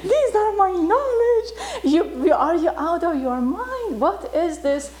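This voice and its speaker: Indignant young male scholar voiced by a woman storyteller, high, shrill, outraged. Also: sing-song, takes it slowly, darkish tone, echoey room, exaggerated voice.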